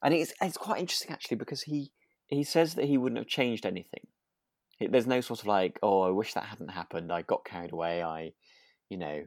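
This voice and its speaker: A man's voice: lowish voice, fairly quick, a little mellow, dry recording, clean studio sound. A man chatting casually as a podcast co-host, thoughtful and tentative, often seeking agreement. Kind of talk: relaxed chat discussing a radio drama.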